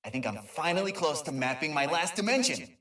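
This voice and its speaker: A man's voice: on the high side, big pitch swings, a little fast, a bit bright, roomy sound, far from the mic. A man voicing an eager, nerdy, kind creature inventor who rambles and stammers through an informal video game audio log. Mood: giddy, excited. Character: eager, nerdy, kind male Lombax inventor and dimension mapper.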